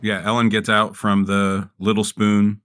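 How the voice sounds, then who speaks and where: A man's voice: on the low side, completely flat delivery, unhurried, a bit bright, clear, resonant tone, no echo. A man playing a tabletop role-playing game, casually improvising and describing what his character does.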